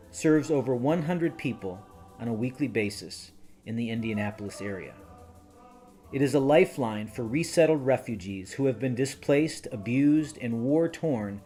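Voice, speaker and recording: A man reading scripted promotional narration in a measured, appealing tone. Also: mid-range voice. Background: soft music.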